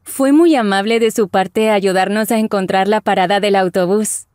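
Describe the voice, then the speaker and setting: A woman voicing Isabella, a friendly young traveler in a scripted lesson dialogue, speaking with clear careful enunciation. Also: mid-range voice, normal speed, darkish tone, no room sound, studio quality, lightly acted. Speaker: voice actor in language lesson dialogue. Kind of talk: scripted slow and normal-speed lesson dialogue.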